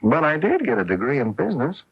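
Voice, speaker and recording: An actor in a radio drama playing a defensive, self-deprecating former golfer husband who talks hesitantly and ruefully. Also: male voice, fairly high pitch, huge pitch swings, quick pace, creaky, breathy edge, heavily acted. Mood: defensive, reluctant. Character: bitter, defensive former pro golfer husband with a guilty secret. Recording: muffled old AM radio broadcast.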